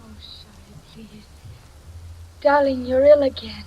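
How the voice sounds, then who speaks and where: An actress playing a tender young wife in a 1940s radio drama, breathy, soft and pleading. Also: female voice, sits high, slow pace, full character voice. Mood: caring. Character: tender young devoted wife calling to her husband. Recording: dull lo-fi old radio recording.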